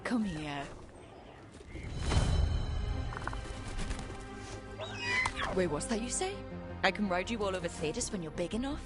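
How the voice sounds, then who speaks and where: An actress playing a warm, encouraging young heroine, earnest and lightly teasing, in a cinematic game scene. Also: female voice, mid-range voice, animated, quick pace, loud music behind the voice, close to an everyday voice. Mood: playful. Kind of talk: cinematic video game acting.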